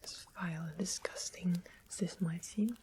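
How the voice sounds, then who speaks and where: A woman's voice: on the low side, noisy background, close to an everyday voice. Woman playing a whispering, sweet-mannered fantasy witch. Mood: confiding, gently wry. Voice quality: soft breathy whisper. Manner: unhurried, explanatory, gently wry. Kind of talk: whispered ASMR roleplay.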